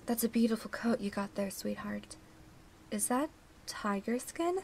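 A woman playing an envious, flattering gangster's companion, chummy, in a comic dub. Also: medium pitch, unhurried, creaky, a little hiss or hum.

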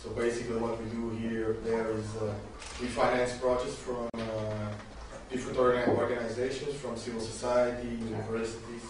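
A middle-aged man with a Portuguese accent talks to a small audience as a visiting official. He is halting and feels for his words. The room rings heavily around him, the microphone is far away and there is clear background noise. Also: medium pitch, flat delivery, very echoey. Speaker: European Commission official on a panel. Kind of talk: informal panel talk to students. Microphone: distant camera microphone.

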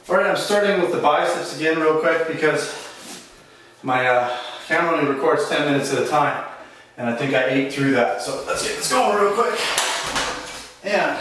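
A man's voice: a little high, background noise. A man in his forties casually explaining exercises in his small home gym while doing them, a bit breathless at times. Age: 40s. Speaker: fitness vlogger. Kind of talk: casual workout demonstration. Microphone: camera mic from across the room. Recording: echoey room, distant camera mic.

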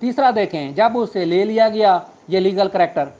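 A man's voice: sits high, lively intonation, normal speed. A man with a Pakistani accent lecturing on law in a didactic, explanatory way, mixing in English terms. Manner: didactic, switching between Urdu and English legal terms. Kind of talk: explanatory lecture, reading statute aloud and explaining it. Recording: dull lo-fi recording.

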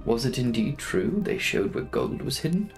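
A man's voice: mid-range voice, sing-song, moderate pace, soft background music. A young man giving a dramatic narration of a classic novel as an audiobook reader.

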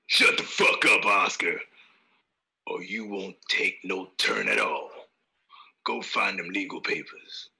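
A man playing a brutal, foul-mouthed Western outlaw father in a radio drama, with a gravelly drawl and a menacing manner. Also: low-pitched, sing-song, slow pace, pushed and bright, heavily acted. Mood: angry contempt. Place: home, on a group video call.

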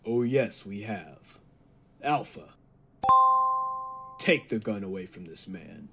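A man's voice: on the low side, animated, over loud music. Man playing a formal, earnest lab assistant. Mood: urgent, defiant. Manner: formal, measured. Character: earnest, calm male laboratory assistant scientist. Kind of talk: 1940s radio drama acting.

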